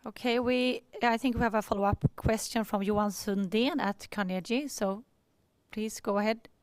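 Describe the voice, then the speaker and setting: A woman's voice: mid-range voice, fairly even intonation, normal speed, clean tone, dry recording. A woman moderating an earnings call, introducing the next questioner.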